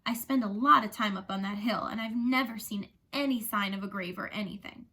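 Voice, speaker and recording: A woman's voice: medium pitch, a little fast, pushed and bright. A woman voicing a sensible, wry young schoolgirl in an earnest, emphatic way for a storybook reading. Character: young schoolgirl narrator, sensible, wry, hot-tempered when provoked.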